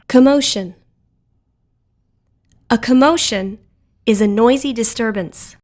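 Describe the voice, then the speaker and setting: A woman narrating a scripted vocabulary lesson in a deliberate, instructional way, giving words and their meanings. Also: a little high, noisy background. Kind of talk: scripted educational narration, word then definition.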